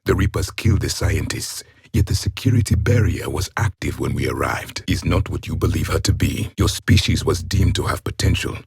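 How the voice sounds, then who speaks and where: Casting: stern alien warrior, game character, disdainful formal delivery, slightly husky, very dry studio sound. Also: male voice, a bass voice, lively intonation, darkish tone, no room sound, full character voice. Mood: haughty, grave. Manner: haughty, disdainful, formal measured speech. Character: proud, stern alien warrior, last of an ancient race. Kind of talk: video game character voice lines.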